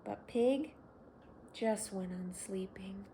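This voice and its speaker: A woman reads a children's bedtime story slowly, in a fairly low voice for a woman. Her phrasing is gentle and expressive, made for young listeners. There is clear background noise on the recording. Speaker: children's story reader and host.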